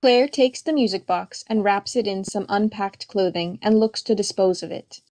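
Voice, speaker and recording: A woman's voice: mid-range voice, moderate pace, a little mellow. A woman reading stage directions as scripted narration for a play reading.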